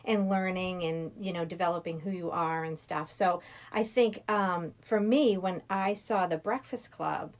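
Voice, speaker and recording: A middle-aged woman from Massachusetts talking casually and a little haltingly as a podcast guest. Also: on the low side. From Massachusetts, USA. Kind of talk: casual chat.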